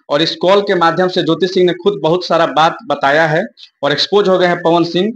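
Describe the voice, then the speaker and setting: A man's voice: fairly high pitch, a bit bright, dull audio. A young man giving informal news commentary to viewers in an emphatic, repetitive explaining manner. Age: young adult.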